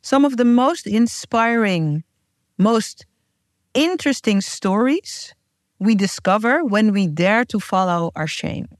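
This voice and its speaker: A woman's voice: medium pitch, expressive, slow pace, no room sound, studio quality. Earnest woman. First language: Dutch. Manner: earnest, heartfelt, emphatic. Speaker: podcast host and guest. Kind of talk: scripted podcast intro and casual chat.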